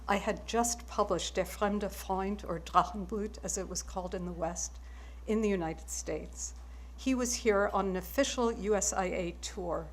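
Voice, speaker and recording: A woman's voice: medium pitch, slight background noise. Wry German-born woman giving a speech. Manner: measured, wry, reflective. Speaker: prize recipient giving acceptance speech. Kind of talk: formal acceptance speech.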